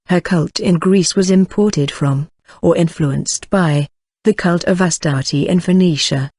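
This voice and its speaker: A synthetic-sounding voice reading an encyclopedia article aloud, keeping the same even delivery through section headings and sometimes mispronouncing names. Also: mid-range voice, no echo, studio quality.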